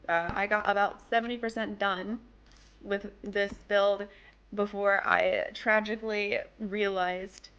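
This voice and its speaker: A woman's voice: medium pitch, steady tone, measured pace, a bit bright, clean tone, muffled recording. A young woman with a slightly worn-out voice, chatting casually and rambling a little as she narrates her build. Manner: chatty, rambling, self-deprecating. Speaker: game build creator. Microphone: desk microphone.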